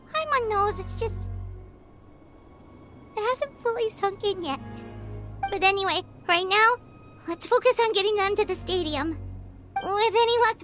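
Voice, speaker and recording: A woman voicing a small, excitable, childlike female flying companion in a video game, with a squeaky voice. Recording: game music behind the voice. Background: video game music.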